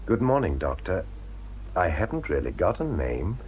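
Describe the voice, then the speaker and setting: Very low-voiced, bewildered polite man. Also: sing-song, normal speed, a little mellow, breathy edge, heavily acted. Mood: polite, guarded. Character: bewildered, polite, nameless male former experiment subject. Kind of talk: radio drama acting.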